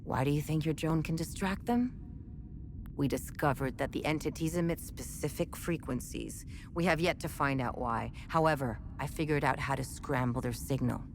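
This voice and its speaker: An actress playing a chatty, wry military research scientist in a video game, explaining things in a measured, conversational way. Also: female voice, sits low, noisy background. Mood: matter-of-fact. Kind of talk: scripted game dialogue, conversational exposition.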